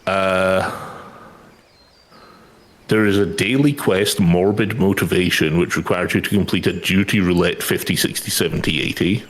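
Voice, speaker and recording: A man's voice: sits low, normal speed. A man casually explaining quest requirements to a fellow player, partly reading from a guide.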